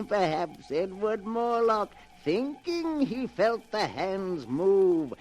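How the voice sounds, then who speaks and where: An actor playing a sinister keeper of a book of horror tales, narrating with theatrical menace and ghoulish relish in 1940s radio style. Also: male voice, high-pitched, exaggerated voice. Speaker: horror show host. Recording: noisy old-time radio recording.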